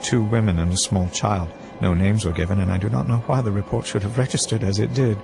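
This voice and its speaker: Music plays under a low male voice. A man acts as a weary, brooding storyteller, narrating a hardboiled tale in a measured, reflective manner. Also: fairly even intonation, gravelly.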